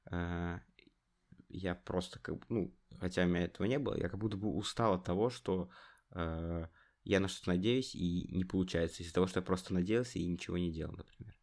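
A young man with a very deep, gravelly voice speaks softly and a little hesitantly on a remotely recorded casual podcast. He stops to find his words, with occasional fillers. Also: a little fast. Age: young adult. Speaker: podcast co-host.

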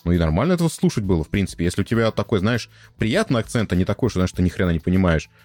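A man's voice: low-pitched, expressive, racing, a little mellow, dry recording. A man hosting a podcast, thinking aloud in a loose, slangy, conversational way. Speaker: podcast host.

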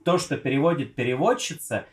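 Animated swearing man in echoey room. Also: medium pitch, a little fast. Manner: animated, swears freely, retells scenes with quoted lines. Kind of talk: casual chat.

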